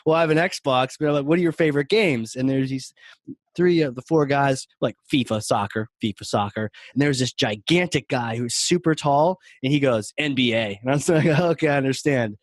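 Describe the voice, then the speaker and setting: A man from New Hampshire talks in a loose, chatty way, often stopping to laugh and restart his phrases. He speaks at an ordinary pitch. The sound is dry and close, with no room behind it. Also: fairly quick, dry recording. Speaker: podcast co-host. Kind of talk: casual chat.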